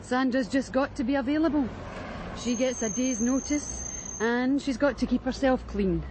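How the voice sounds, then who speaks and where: Actress as a brash, high-voiced Glaswegian streetwalker. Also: female voice, moderate pace, lightly acted. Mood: breezy, confiding. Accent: Glaswegian Scottish. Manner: breezy, frank, unbothered. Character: brash, streetwise, matter-of-fact Glasgow streetwalker. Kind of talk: naturalistic radio drama acting. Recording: faint urban street noise.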